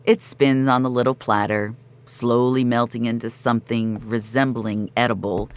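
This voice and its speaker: A woman's voice: extremely low pitch, steady tone, moderate pace, clean tone. A woman narrating scripted first-person audio fiction in a wry, sardonic and frank manner.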